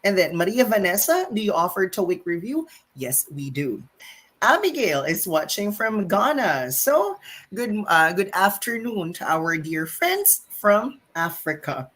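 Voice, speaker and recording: A woman's voice: medium pitch, measured pace. A woman hosting a live exam-prep stream, reading viewer comments and answering them in an emphatic, teacherly way. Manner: animated, emphatic teacherly delivery.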